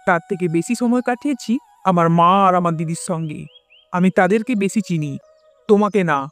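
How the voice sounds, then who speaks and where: A man playing a gullible young husband who is quick to scold in a cartoon story. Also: high-pitched, close to an everyday voice. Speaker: narrator and voice actor. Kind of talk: dramatic storytelling narration for a cartoon tale. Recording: music bed behind the voice.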